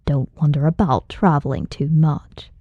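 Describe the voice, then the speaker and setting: A woman voicing a cold, aloof male martial arts master in an audiobook, slow, measured and commanding. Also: low-pitched, fairly even intonation, no echo, lo-fi sound, voice pushed down. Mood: stern, commanding. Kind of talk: audiobook character voice.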